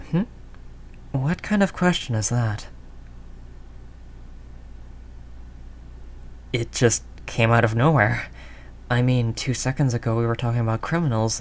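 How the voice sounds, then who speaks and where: A man's voice: mid-range voice, close to an everyday voice. A man playing a world-weary, doting mafia boss in an intimate roleplay, talking in teasing street slang with pet names. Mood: tender, teasing. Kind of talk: intimate scripted roleplay audio drama monologue.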